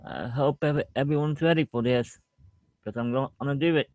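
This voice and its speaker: A man's voice: medium pitch, quick pace, darkish tone, no echo. A man chatting casually to online viewers in a hesitant way, repeating himself as he goes. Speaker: amateur singer and fan fiction reader.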